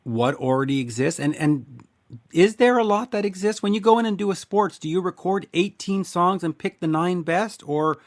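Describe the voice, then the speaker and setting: A man's voice: high voice, expressive, normal speed. A male host asking long, rambling interview questions with many restarts.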